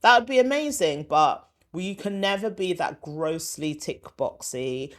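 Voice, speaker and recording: A woman, born in Ghana, a former TV comedy commissioner answering as a guest, emphatic and animated. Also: fairly low pitch, unhurried. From born in Ghana, raised in West London. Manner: emphatic and animated, acts out quotes. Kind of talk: conversational interview answer.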